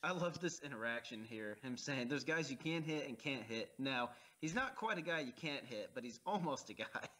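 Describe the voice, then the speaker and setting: A man's voice: medium pitch, animated, normal speed, hardly any pauses, pushed and bright. A man co-hosting a casual movie commentary, easygoing and laughing along with crude jokes. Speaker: podcast co-host.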